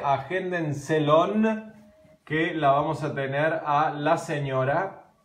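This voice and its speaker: A man in his thirties with an Argentine accent, chatting casually and animatedly on a live stream from his home kitchen, filmed on a phone held at arm's length. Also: a little high. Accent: Argentine Spanish. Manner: chatty, animated, gesturing while talking. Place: home kitchen at night, indoors. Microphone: phone camera at arm's length. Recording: roomy, echoey room.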